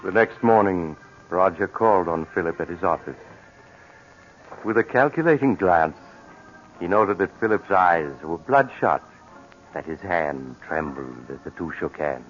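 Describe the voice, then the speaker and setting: A very deep-voiced, ominous narrator tells a radio mystery with measured drama. The vintage recording is dull, with faint music behind him. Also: male voice, animated. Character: ominous, knowing male storyteller of mystery tales. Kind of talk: 1940s radio suspense narration.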